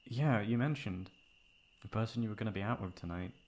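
A man playing a caring, protective young best friend in an intimate ASMR roleplay, with a soft, breathy voice. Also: lowish voice, fairly quick, close to an everyday voice. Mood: concerned, soothing. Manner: intimate, comforting, casual. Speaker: voice actor.

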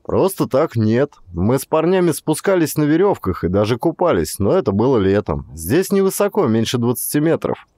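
A man's voice: mid-range voice, sing-song, normal speed, few pauses, warm tone, dry recording, studio quality. Man playing a wry, understated male lover. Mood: calm. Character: male first-person hero, wry, calm, affectionate lover. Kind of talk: audiobook character dialogue.

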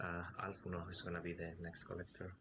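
A man's voice: low voice, completely flat delivery, quick pace, noisy background. A man leading an online game raid over voice chat, giving short, repeated commands. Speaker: raid leader.

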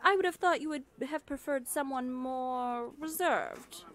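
A woman playing a high-voiced, unhurried confident young witch. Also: a bit bright. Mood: tender, teasing. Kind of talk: ASMR roleplay acting.

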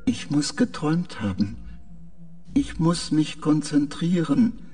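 A man playing a confused, haunted artificial spaceship mind in a science fiction audio drama, speaking haltingly in short hesitant answers. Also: on the high side, animated, mellow. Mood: dreamy, uneasy. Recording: distant-sounding, with music behind.

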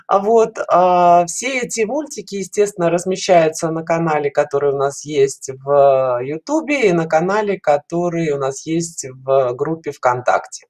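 Talkative woman with halting delivery. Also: deep voice, expressive, a little mellow. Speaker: guest caller, children's animation studio representative. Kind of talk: casual interview answers. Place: indoors at home, video call. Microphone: headset.

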